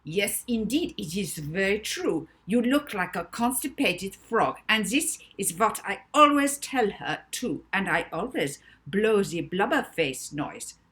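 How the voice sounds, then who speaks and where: A woman's voice: medium pitch, lively intonation, a little slow, hardly any pauses, a bit bright, voice pushed up. A woman voicing a hypnotised male servant in a storybook who blurts out rude insults in a formal way. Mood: rude babbling.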